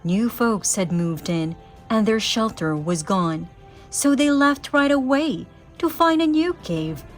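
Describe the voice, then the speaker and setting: Slow, very animated woman reading a storybook. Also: on the high side, soft background music. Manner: theatrical, measured storytelling. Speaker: storybook reader. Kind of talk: expressive children's read-aloud of a rhyming story.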